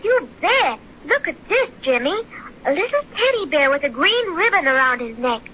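A wide-eyed little girl with a very high, sing-song voice that swoops in wonder. She gushes over small things. The sound is a dull, hissy old radio recording. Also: big pitch swings, normal speed. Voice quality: gushing, wondering. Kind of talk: 1930s radio drama acting. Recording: dull old radio transcription with faint hiss.

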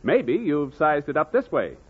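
A male announcer delivering a brisk, persuasive recruiting pitch on a 1940s radio show. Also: very animated, breathy edge. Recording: tinny old radio broadcast.

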